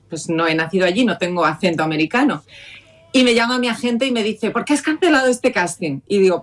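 Actress guest, female, chatty anecdote teller. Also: mid-range voice. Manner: lively anecdotal, acts out quoted dialogue. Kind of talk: storytelling in interview.

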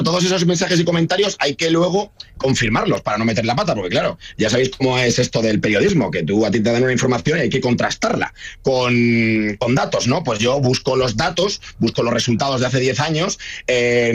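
A man's voice: a little high, slightly rough. A man with a La Mancha Spanish accent, a comedian chatting as a guest in a radio interview, rambling and laughing easily. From Spain. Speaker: guest, comedian and video creator. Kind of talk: casual radio interview chat.

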